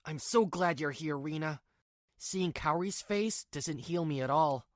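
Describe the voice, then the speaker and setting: A woman's voice: low voice, measured pace, dry recording, clean studio sound. A woman voicing a weak-willed, two-faced young husband in a manga drama, with a pleading, hesitant delivery. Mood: smitten.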